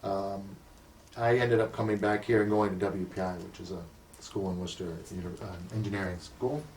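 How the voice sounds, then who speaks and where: Older guy, low voice, kinda far from the mic, slow thoughtful storytelling with lots of ums. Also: fairly even intonation, a little mellow, slight background noise. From United States. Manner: thoughtful, with frequent ums and self-corrections. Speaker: community association president. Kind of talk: recalled life story in an interview.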